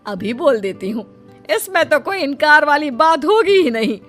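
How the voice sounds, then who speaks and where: A woman voicing a bossy, scheming older mother-in-law in a cartoon who calls out loudly and boasts. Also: high-pitched, sing-song, moderate pace, faint music behind. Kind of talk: Hindi cartoon voice acting.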